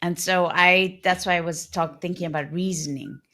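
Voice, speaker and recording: Thoughtful woman host, low voice. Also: steady tone, moderate pace, a bit bright. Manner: reflective, hesitant, thoughtful questioning. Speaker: podcast host. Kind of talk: conversational interview.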